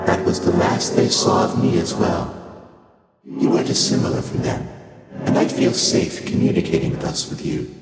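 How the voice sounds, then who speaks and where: An actor playing an ancient, aloof primordial deity in a video game, speaking calmly and cryptically in formal, measured, archaic phrasing, with faint amusement and layered otherworldly processing. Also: male voice, low-pitched. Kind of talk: video game character dialogue. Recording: cavernous long reverb, loud music behind.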